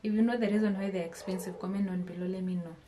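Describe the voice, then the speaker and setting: A young Kenyan-accented woman talks through a casual haul vlog in a small home kitchen. Her voice sits at a middling pitch, and she keeps turning to her viewers with questions and restarting her sentences. The camera picks her up from arm's length. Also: fairly even intonation, racing, warm tone, slight background noise. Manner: chatty, addresses viewers with questions, often restarts phrases. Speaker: vlogger.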